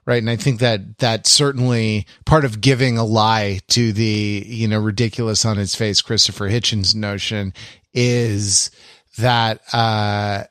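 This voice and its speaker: A man co-hosting a podcast, speaking casually and haltingly as he searches for words. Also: fairly low pitch, flat delivery, fairly bright tone, no echo, clean studio sound. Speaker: podcast co-host. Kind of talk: casual discussion.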